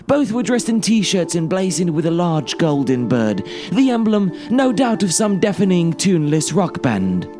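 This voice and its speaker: A man narrating a story in a theatrical, expressive, dramatic audiobook style. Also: high-pitched, moderate pace, hardly any pauses. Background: music.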